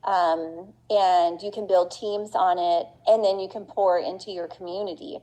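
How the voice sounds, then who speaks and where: A woman's voice: fairly low pitch, fairly even intonation, measured pace, mellow, poor audio. A middle-aged woman giving an earnest, chatty conference talk as a business owner, with plenty of fillers and restarts. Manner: earnest and passionate.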